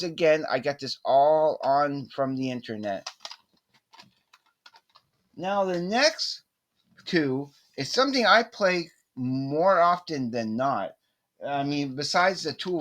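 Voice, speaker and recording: Male, middle-aged, voice a touch high. Informal vlogger chat, halting and rambling, keen fan energy. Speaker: vlogger showing a cassette collection. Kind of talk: casual chat to camera. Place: small indoor room with poster-covered walls.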